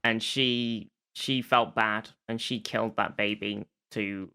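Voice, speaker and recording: A podcast host with a mid-pitched voice that is bright and cleanly resonant. The delivery is casual, like talk between friends, with a hesitant search for the right word as the recap goes on. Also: fairly even intonation, slow, clear, resonant tone. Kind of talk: casual chat recapping a TV show.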